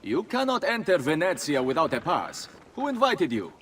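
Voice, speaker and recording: An actor playing a gruff, officious harbour gatekeeper with an Italian accent, barking sternly in a game cutscene. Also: male voice, a little high, wildly swooping pitch, normal speed, fairly bright tone. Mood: stern, indignant. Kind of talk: video game cutscene acting. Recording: distant voice over background noise.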